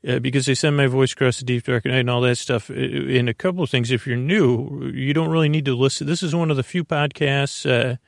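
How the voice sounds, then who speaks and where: A male podcast host with a very creaky voice, recorded close and dry. He rambles in a bedtime-intro style, halting, restarting and drifting into tangents. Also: medium pitch, fairly even intonation, no room sound. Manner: meandering, halting, stammering, tangential.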